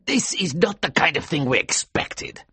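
A man's voice: fairly high pitch, sing-song, moderate pace, pushed and bright, husky, full character voice. A man playing a stern, contemptuous company official in a dramatised audiobook, speaking emphatically in an echoey recording. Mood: angry contempt.

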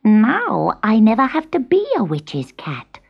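A woman voicing a small, frightened male witch's kitten in a high, breathy storybook voice. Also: wildly swooping pitch, a little slow, heavily acted. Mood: delighted. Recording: muffled lo-fi recording.

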